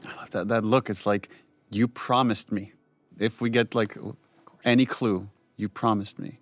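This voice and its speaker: A man's voice: on the low side, steady tone, a little slow, dry recording, faint music behind. A man speaking as a game director, giving casual, enthusiastic commentary in a conversational way.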